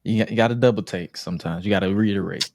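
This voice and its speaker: A young man talking as a podcast guest in a relaxed way, often repeating and restarting words. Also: fairly low pitch, fast. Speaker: podcast guest and content creator. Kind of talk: casual chat.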